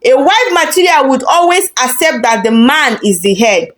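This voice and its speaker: A woman's voice: high voice, animated, moderate pace. A woman voicing an arrogant, chauvinistic boyfriend in a story, pompous and dismissive. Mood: boastful, demanding. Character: arrogant, chauvinistic boyfriend demanding a submissive wife.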